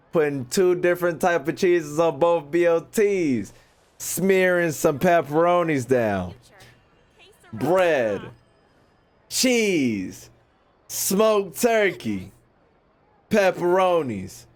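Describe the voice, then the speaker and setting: An American man giving casual livestream game commentary, joking and swearing in loose slang. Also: on the high side. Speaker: game streamer. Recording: game music behind the voice. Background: video game music and dialogue.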